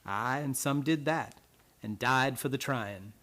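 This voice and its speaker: A man's voice: medium pitch, slow, sharp tone. Man acting a grim, blunt island retainer. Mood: bitter, grave. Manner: rustic, archaic phrasing with "aye" and "'twas". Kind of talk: radio play acting.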